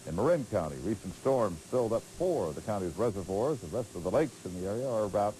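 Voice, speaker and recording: A man's voice: lowish voice, animated, fast talker, warm tone, poor audio. An American man anchoring a scripted television newscast, heard on a hissy late-eighties tape. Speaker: news anchor.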